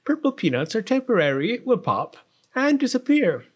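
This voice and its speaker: A man's voice: extremely high pitch, big pitch swings, normal speed, voice pushed up, heavily acted. A man voicing a doting, practical royal mother in a storybook, with a soothing manner.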